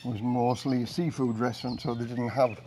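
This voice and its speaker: An older man with an English accent chatting casually while washing his car, in a monotone voice and a rambling storytelling manner. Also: mid-range voice, moderate pace, a little hiss or hum. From England. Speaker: vlogger. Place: outdoor carport of a house. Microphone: camera mic from a few meters away. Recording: outdoor camera audio with birdsong. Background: birds and rural outdoor ambience.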